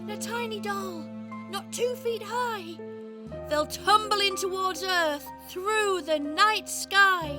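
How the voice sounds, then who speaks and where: A woman's voice: very high voice, slow pace. A woman narrating a rhyming children's radio play as storyteller. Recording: music behind the voice.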